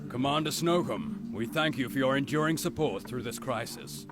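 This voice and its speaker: A man's voice: medium pitch, expressive, moderate pace, music in the background, close to an everyday voice. A man playing a grave alien faction leader in a space video game, speaking in a formal, solemn manner. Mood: grave, bleak.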